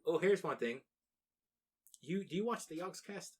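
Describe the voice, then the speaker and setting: A man's voice: high-pitched, animated, moderate pace, slightly rough. A young man from the United States, co-hosting a casual podcast chat, rambling with restarts and plenty of swearing. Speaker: podcast co-host.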